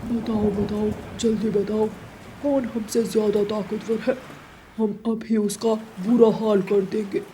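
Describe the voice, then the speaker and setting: A woman's voice: mid-range voice, little pitch movement, measured pace, mellow, a little hiss or hum, natural voice, poor audio. A woman voicing greedy, bullying male owl villains with a gloating, boastful manner.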